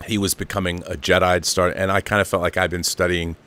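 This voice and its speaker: A man's voice: deep voice, level tone, fast talker, sharp tone, no echo. A man in his fifties hosting an online show, talking off the cuff in a casual, emphatic fan monologue and repeating phrases for stress. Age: 50s.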